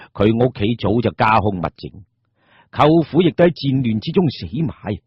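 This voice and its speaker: A male storyteller with a very husky, rough voice narrates radio drama. His delivery is colloquial and dramatic with lively swings in pitch, in a dry recording. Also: dry recording, lo-fi sound.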